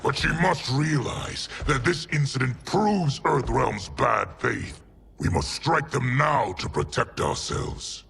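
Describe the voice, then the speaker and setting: Scheming game warlord: grave, imperious, slow delivery with wide swings, music underneath. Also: male voice, medium pitch, animated, gravelly, fairly bright tone, slight background noise, heavily acted. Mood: stern, urgent. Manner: solemn, formal, imperious. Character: scheming ambitious male warlord, grave and self-righteous. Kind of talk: dramatic video game acting. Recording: dramatic music behind.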